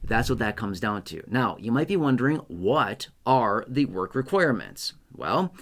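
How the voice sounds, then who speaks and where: A man's voice: mid-range voice, fairly bright tone. Reassuring man explaining rules to viewers. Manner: reassuring, repeats himself, frequent "okay?" check-ins. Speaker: YouTube explainer host.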